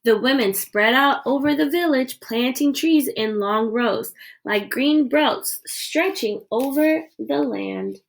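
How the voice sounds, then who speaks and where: A woman with a slightly high voice reads a picture book aloud. Her delivery is expressive storytelling, shaped for young listeners. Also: takes it slowly, creaky. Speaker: read-aloud reader.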